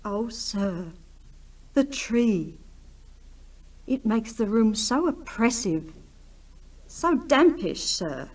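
A woman's voice: on the high side, very animated, very slow, pauses often, darkish tone, full character voice. A woman playing a deferential, anxious manor housekeeper in a radio drama, respectful and fretful. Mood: fearful. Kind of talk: 1960s radio drama acting.

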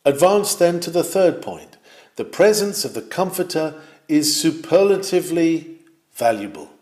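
A man's voice: fairly high pitch, very animated, measured pace, a little mellow. Man reading an old sermon, roomy echo. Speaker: sermon reader. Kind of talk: reading a 19th-century sermon aloud.

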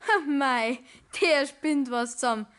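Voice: high-pitched, measured pace, fairly bright tone, clean tone. A young performer playing a cheeky, sullen teenage apprentice boy with a Bavarian accent in a 1960s radio play, defiant and grumbling. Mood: grumbling, boastful.

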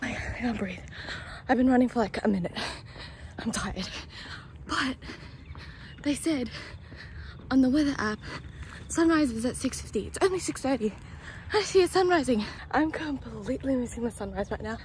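A woman's voice: sits high, expressive, normal speed, long pauses, darkish tone, reverberant, a little hiss or hum. A woman in her twenties vlogging casually to a phone at arm's length, chatty and often whispering. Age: 20s. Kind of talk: casual vlog chat. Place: small bedroom indoors, then outdoors on walks. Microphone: phone held at arm's length.

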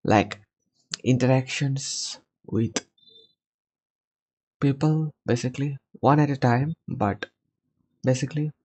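A man in his twenties hosting a casual conversation podcast over a video call, talking from a small room and often pausing to find his words. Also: mid-range voice, a little mellow. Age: 20s. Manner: hesitant, searching for words. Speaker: podcast host. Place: small home room, indoors. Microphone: headset.

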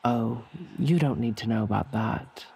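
A man's voice: medium pitch, measured pace, creaky, darkish tone, slightly rough, full character voice. A man voicing a weary, evasive female ghost in a tabletop game, hesitant and cryptic.

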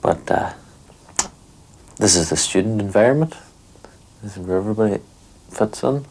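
A man's voice: fairly low pitch, lively intonation, normal speed, darkish tone, husky. A young man, speaking thoughtfully in a documentary interview. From Northern Ireland. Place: small bedroom.

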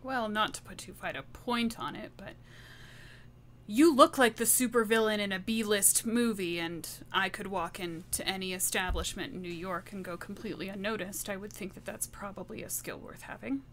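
A woman playing a defiant, sharp-witted, bitter ex-wife with dry self-assurance in a roleplay game. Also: medium pitch, moderate pace, lightly acted. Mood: dry, confident.

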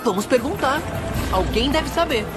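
Earnest cartoon bug, high voice, excitable, Brazilian accent, loud music and crowd behind. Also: normal speed. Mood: searching. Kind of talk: animated film dub acting. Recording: loud music and busy street noise behind.

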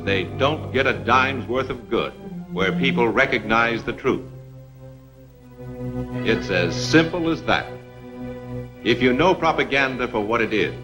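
An American man delivering emphatic, rhetorical narration for a 1950s military film, with dramatic pauses. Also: mid-range voice, rough recording. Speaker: narrator and presenter.

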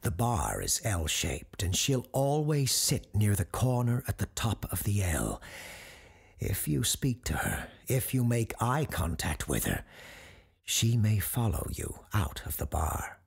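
An actor playing an eerie, learned radio host who tells supernatural tales in a measured, ominous way with deliberate pauses, his voice husky and gravelly. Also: on the low side, very animated, heavily acted. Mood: ominous warning. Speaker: paranormal advice show host. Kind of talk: scripted dramatic storytelling.

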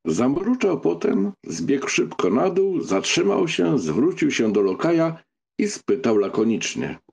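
A man's voice: fairly high pitch, sing-song, measured pace, creaky, a little mellow, slightly rough. A man narrating a novel as scripted literary audiobook reading.

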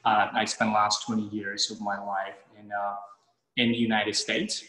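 A man's voice: lowish voice, level tone, moderate pace, bright, forceful tone. A man in his thirties from the Czech Republic, a homeowner chatting on a live house tour, with many fillers and restarts. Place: large bare room with hard floors, indoors. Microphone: camera a few steps away. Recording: echoey room with long reverb.

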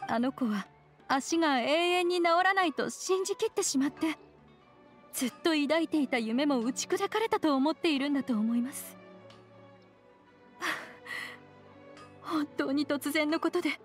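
Polite earnest young lady, high voice, soft music behind. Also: expressive, unhurried, pauses often. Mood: troubled. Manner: formal, earnest and grateful. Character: worried, polite young woman.

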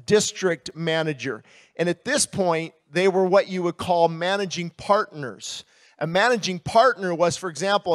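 An American man in his fifties, a pastor giving a candid, conversational testimony with pauses and repeated words into a handheld microphone. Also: high-pitched. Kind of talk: sermon with personal testimony. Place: outdoor stage at a baseball stadium in the evening.

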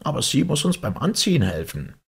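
A man's voice: mid-range voice, big pitch swings, fairly quick, vocal fry, slightly rough, voice pushed up, exaggerated voice. A man voicing a sulky, mournful, childish storybook twin brother with a grumbling manner. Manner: grumbling, doleful.

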